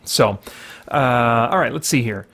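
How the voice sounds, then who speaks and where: A man's voice: medium pitch, unhurried, fairly bright tone. A man giving casual gameplay commentary as a host, explaining his reasoning and thinking aloud as he goes. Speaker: host of a gaming video series.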